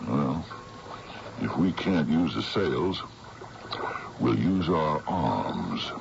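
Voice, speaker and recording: An actor playing a steady, practical man in charge of a small sailboat in a radio drama, commanding but reassuring. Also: a bass voice, extremely slow, gravelly, exaggerated voice. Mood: urgent, in command. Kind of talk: 1970s radio drama acting. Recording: dull, very noisy old radio broadcast.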